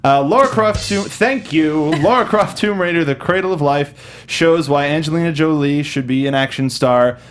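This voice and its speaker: A man's voice: fairly high pitch, normal speed, soft background music. A man hosting a casual panel show, joking and sounding like an announcer when introducing things. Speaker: talk show host.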